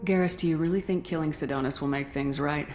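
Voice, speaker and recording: A woman playing a steady, principled military commander in a video game, firm and questioning in manner. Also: low voice, fast talker, close to an everyday voice. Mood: concerned. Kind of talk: video game character acting. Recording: music behind the voice.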